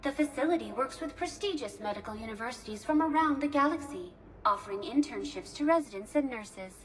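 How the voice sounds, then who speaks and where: A high-pitched female hospital receptionist from a video game, with a lively, swooping melody. She is polite and efficient, laying out procedures in formal, precise phrasing. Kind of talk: scripted informational game dialogue.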